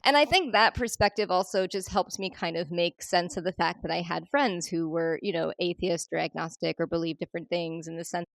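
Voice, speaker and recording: A woman's voice: fairly low pitch, brisk, vocal fry, few pauses, dry recording. A young woman from Ohio, a podcast guest talking in a reflective, rambling way with many fillers. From Ohio, USA. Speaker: interview guest. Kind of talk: casual interview chat.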